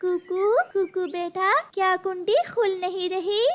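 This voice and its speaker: Woman voicing a high-pitched, caring mother bird. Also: flat delivery, unhurried. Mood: concerned. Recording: clear background noise.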